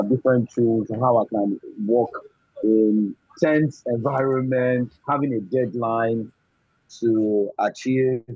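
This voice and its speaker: A Nigerian-accented man with a husky, mid-pitched voice speaks effusively and earnestly, often repeating his phrases. It is an informal thank-you speech over a dull online call. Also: darkish tone. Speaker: graduating course student. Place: indoors, online video call. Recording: muffled online call, echoey room.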